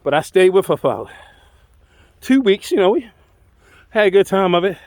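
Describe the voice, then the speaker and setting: Male storyteller, notably high voice, dry close sound, colloquial and dramatic delivery. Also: big pitch swings, normal speed, darkish tone, no echo. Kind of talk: animated first-person tall-tale storytelling.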